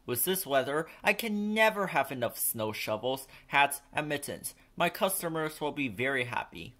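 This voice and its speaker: A man's voice: fairly high pitch, animated, moderate pace, a bit bright. A man voicing a grateful, cheerful general store owner in a children's storybook.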